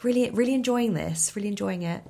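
A woman co-hosting a podcast in casual, emphatic chat, her voice husky from a cold. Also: mid-range voice, quick pace, mellow. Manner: enthusiastic, emphatic, repeats herself for effect. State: husky voice from illness. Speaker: podcast guest and co-host.

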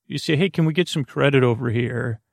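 Creaky-voiced man rambling softly at bedtime. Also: medium pitch, no room sound. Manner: halting, meandering, digressive, gently reassuring. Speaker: podcast host. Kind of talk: rambling, meandering bedtime podcast monologue.

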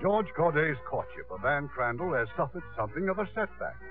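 An actor serving as urbane narrator, giving polished, measured 1950s radio scripted narration. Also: male voice, a little high, very animated. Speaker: announcer narrator. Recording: narrow-band old radio broadcast. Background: faint music.

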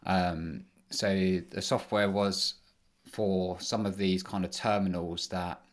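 A man's voice: low voice, slow. A man, a podcast guest, answering casually and loosely with many fillers. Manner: relaxed, rambling with many fillers. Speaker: podcast guest, engineering manager.

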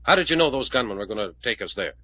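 An actor playing a hard-driving, blunt detective in a 1940s radio serial, commanding and urgent. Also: male voice, medium pitch, animated, racing, pushed and bright, slightly rough. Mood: pressing, stern. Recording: muffled old-time radio recording.

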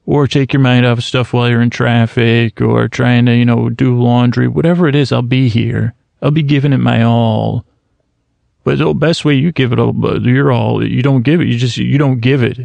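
Sleep podcast host, man, 30s: very gravelly, monotone meanderer, dry close mic. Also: medium pitch, no echo. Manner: slow, meandering, halting with many restarts and fillers. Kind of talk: rambling bedtime monologue.

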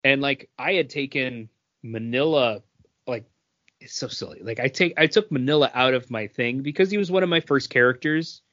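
Male podcast co-host, average pitch, conversational game talk, lo-fi recording. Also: moderate pace, fairly bright tone. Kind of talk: casual chat about mobile games.

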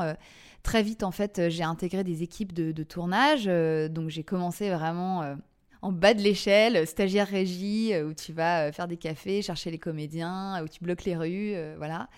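A woman with a Parisian French accent telling her life story casually as an interview guest, often pausing to search for words. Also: fairly low pitch, a little mellow, clean tone. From Paris, France. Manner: hesitant, halting, searching for words.

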